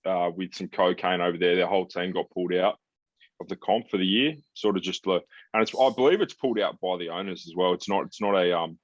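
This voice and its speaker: A man's voice: deep voice, brisk, no echo. A man hosting a sports podcast in casual conversation, talking in a blunt, laid-back, matey way. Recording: slightly dull, lo-fi sound.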